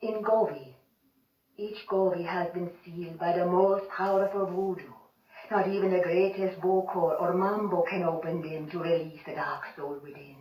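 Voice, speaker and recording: A woman's voice: lowish voice, steady tone, normal speed, crowd noise, close to an everyday voice. A woman voicing a wise, stern voodoo priestess with a Jamaican accent, speaking in solemn warnings. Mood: ominous. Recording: echoey, distant game audio.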